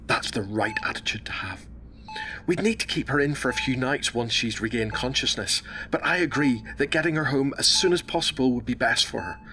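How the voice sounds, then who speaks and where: A man playing a calm, measured hospital doctor in a radio drama, professional, reassuring and deliberate. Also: mid-range voice, a little hiss or hum, natural voice. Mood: composed, serious.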